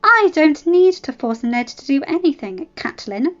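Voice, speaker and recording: Defiant young noblewoman, cold cutting retorts, raised and forceful, as a woman voices her, muffled recording with faint music. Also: high-pitched, unhurried, voice pushed up.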